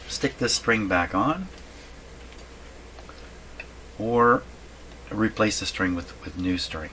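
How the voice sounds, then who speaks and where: A man giving casual hands-on repair narration, halting and thinking aloud to himself as he works. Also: low voice. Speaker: host of a radio repair channel. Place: indoors at a home workshop. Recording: clearly noisy room recording.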